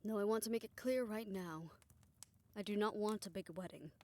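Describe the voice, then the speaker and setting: A mature woman in love played by a woman speaks intimately to her partner in an audio romance. Her mid-pitched voice is tender and self-assured. She has a reflective, slightly formal manner with wry self-deprecation. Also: normal speed, warm tone, lightly acted. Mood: earnest, hopeful. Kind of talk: romantic audio roleplay, intimate scripted monologue.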